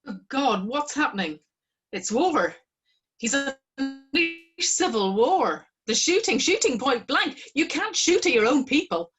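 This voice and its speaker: A woman's voice: high voice, sing-song, slow, music in the background, full character voice. An actress playing a horrified woman who pleads in alarm for the world to step in.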